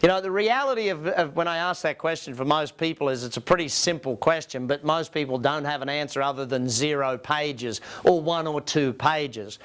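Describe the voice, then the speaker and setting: Male business coach, Australian, voice on the higher side, emphatic seminar presenter who works the audience with questions. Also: normal speed, few pauses, fairly bright tone, smooth. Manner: emphatic, rhetorical questions to listeners.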